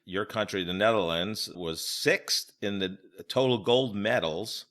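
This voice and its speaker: Very deep-voiced American man interviewing. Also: measured pace, fairly bright tone. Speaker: podcast host, interviewer. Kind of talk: casual conversational interviewing.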